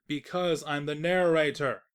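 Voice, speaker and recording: A woman narrator reading a scripted comedic story in an expressive, wry storyteller manner. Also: deep voice, a little slow, clean tone.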